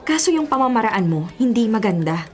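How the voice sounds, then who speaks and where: A woman's voice: on the high side, sing-song, brisk, a little mellow. An actress playing a bitter, prickly young office woman in a Tagalog radio drama, speaking with a Filipino accent in a curt, sarcastic way. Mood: irritated, bitter.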